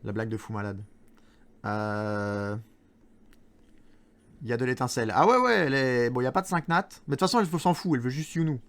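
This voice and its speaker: Fast joking Belgian man streaming. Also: medium pitch, fairly bright tone. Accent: Belgian French, Charleroi. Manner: joking, rambling, self-mocking. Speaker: streamer host. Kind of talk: casual gaming stream chat. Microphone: good streaming mic.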